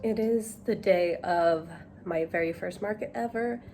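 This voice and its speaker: A young woman chatting casually to camera in a vlog, nasal and hoarse from a cold, thinking aloud with fillers. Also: on the low side, a little slow, over loud music. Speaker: knitting vlogger.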